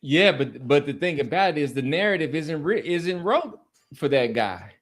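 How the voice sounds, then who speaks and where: A man's voice: a little high, big pitch swings, moderate pace. A man co-hosting a sports podcast, talking casually and arguing his points conversationally.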